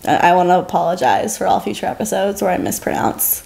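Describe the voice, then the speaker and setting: A woman's voice: mid-range voice, fairly even intonation, fast, gravelly, a little mellow. A young adult woman co-hosting a podcast in casual chat, with informal, loosely phrased conversational delivery. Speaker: podcast co-host. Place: living room, on a sofa.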